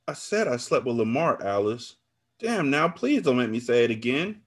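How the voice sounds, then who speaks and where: Man voicing a pleading, anxious woman, pitched high. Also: sing-song, normal speed, husky. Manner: pleading, placating. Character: guilt-ridden woman narrator pleading with her angry friend.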